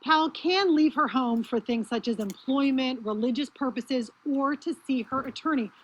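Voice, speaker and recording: Higher-pitched American woman reporting news. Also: normal speed, husky, rough recording. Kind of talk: scripted news report narration.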